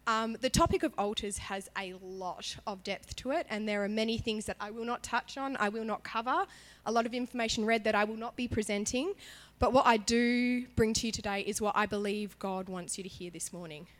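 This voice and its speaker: A woman worship leader giving a sermon in a church, chatty and animated in her emphasis, her voice partly gone from illness. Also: fairly high pitch, brisk, few pauses. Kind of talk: sermon with prayer.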